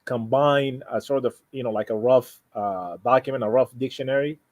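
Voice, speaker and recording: A man from Sudan, a native Arabic speaker with a Sudanese Arabic accent, talking casually and haltingly as he explains himself, often repeating and rephrasing. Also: mid-range voice, dry recording. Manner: halting, repeats and rephrases, frequent "you know". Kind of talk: casual conversation, explaining personal plans.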